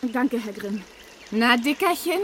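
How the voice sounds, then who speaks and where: An actress playing a resourceful, cheeky heroine in a children's radio play, with a brisk, lecturing, persuasive manner. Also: female voice, fairly high pitch, lively intonation, a bit bright, a little hiss or hum.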